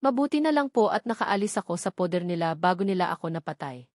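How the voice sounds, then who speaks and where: A woman's voice: fairly low pitch, animated, fast talker, a little mellow, dry recording, clean studio sound. A woman voicing a meek, grateful young maid in a novel, speaking deferentially and politely. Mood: relieved, subdued.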